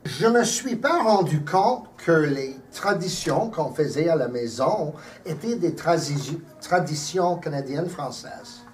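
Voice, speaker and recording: A man who directs a cultural center, speaking in an articulate, measured way in an oral history interview. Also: a little high, expressive, slight background noise. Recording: distant mic.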